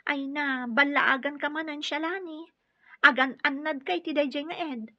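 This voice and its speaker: A woman's voice: high-pitched, moderate pace. A woman with an Ilocano accent playing a wary, scolding young friend in a radio drama. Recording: dull lo-fi radio drama recording.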